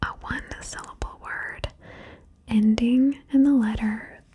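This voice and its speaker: A woman whispering slowly and softly, coaxing and encouraging the listener through a word-game ASMR session. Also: on the high side, fairly even intonation. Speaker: ASMR host guiding the listener.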